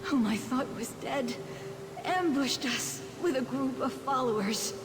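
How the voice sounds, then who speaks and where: Slow, high-voiced, shaken woman soldier. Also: expressive. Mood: shaken, troubled. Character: female soldier, shaken and weary after an ambush. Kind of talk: scripted video game cutscene acting. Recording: long reverb with clear background noise.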